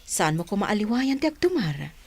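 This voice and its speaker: Woman acting caring, animated Ilocano lady doctor. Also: medium pitch, moderate pace, a little hiss or hum. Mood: firm, caring. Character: caring female doctor, firm and teasing. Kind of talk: Ilocano comedy radio drama.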